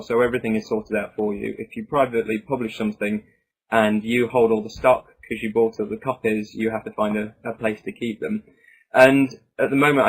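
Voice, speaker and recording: Husky low-voiced man giving an informal talk. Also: rough recording. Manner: conversational, rambling asides. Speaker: author and researcher presenting own book. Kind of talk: informal slide presentation.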